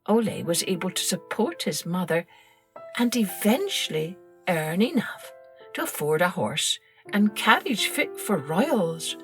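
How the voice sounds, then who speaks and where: A woman narrating a children's story in an expressive, scripted storytelling style, like a podcast host. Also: on the low side, vocal fry.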